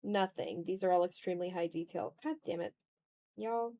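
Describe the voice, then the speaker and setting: A woman's voice: lowish voice, normal speed, dark tone. A woman hosting a casual art livestream, thinking aloud in a self-deprecating way as she draws. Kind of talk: casual chat while drawing on a livestream.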